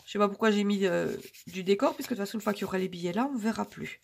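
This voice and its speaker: A woman talks to her viewers in a loose, rambling way, with lots of pauses and fillers. Her voice is hoarse from a cold and heavily gravelly with vocal fry throughout. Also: medium pitch, darkish tone. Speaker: budgeting vlogger. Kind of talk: casual chat to viewers.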